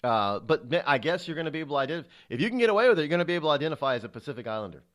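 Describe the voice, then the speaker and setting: A man's voice: fairly high pitch, sing-song, rapid-fire, a bit bright. A middle-aged man hosting a talk radio show, delivering an indignant, rhetorical monologue with frequent stumbles and fillers.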